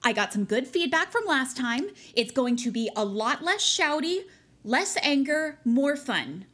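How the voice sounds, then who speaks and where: A self-righteous teenage schoolgirl in a naturalistic audio drama. Her high voice swings up and down, earnest and bossy as she pushes her point. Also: big pitch swings, a little slow, fairly bright tone, heavily acted. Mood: eager, insistent.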